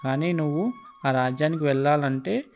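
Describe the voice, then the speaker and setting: A man voicing a kindly, measured herdsman who gives advice in a children's cartoon. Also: on the high side, darkish tone, highs cut off, faint music behind, close to an everyday voice. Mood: advising. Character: kindly advising male herdsman, calm helper. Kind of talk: children's cartoon story dubbing.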